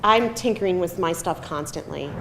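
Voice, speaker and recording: A woman's voice: moderate pace, creaky. Middle-aged woman in an echoey room. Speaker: screenwriter on a Q&A panel. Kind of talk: casual panel Q&A answers. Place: live film festival event room. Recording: echoey room, audible noise and rumble.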